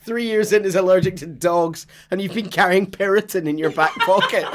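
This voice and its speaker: A man's voice: high voice, sing-song, measured pace, noisy background. A man co-hosting a podcast with casual, teasing, jokey banter. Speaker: podcast co-host.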